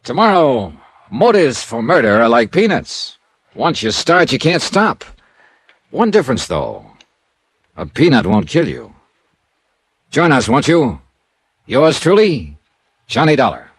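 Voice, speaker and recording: A man's voice: medium pitch, big pitch swings, unhurried, pauses often, slightly rough. An actor playing a wry, self-assured male investigator, dry and clipped, in classic radio detective drama. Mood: teasing. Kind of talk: classic radio detective acting and first-person narration.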